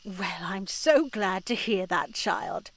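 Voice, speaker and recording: A woman playing a stern, pious nun in a horror visual novel, with a measured, preachy manner. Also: mid-range voice, lively intonation. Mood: composed, instructive. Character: stern, pious nun in charge of a convent retreat.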